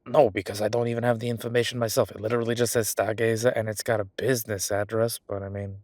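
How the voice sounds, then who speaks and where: A man's voice: lowish voice, flat delivery, fast, darkish tone, no room sound, close to an everyday voice. A man playing a defensive, chatty government records clerk in an audio roleplay, talking in a rambling, colloquial way. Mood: matter-of-fact, hesitant. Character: male records hall clerk, defensive, chatty, lazy bureaucrat. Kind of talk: solo audio roleplay, conversational acting.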